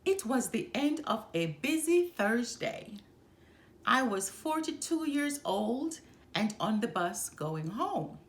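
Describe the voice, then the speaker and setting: A woman reading a children's picture book aloud as a storyteller, expressively and with measured, emphatic phrasing. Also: on the high side, very animated.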